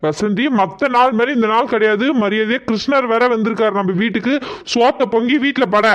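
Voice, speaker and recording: A performer voicing a quick-tempered but doting father in a family comedy skit. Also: medium pitch, lo-fi sound, close to an everyday voice. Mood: irritated. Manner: scolding, then fond cooing.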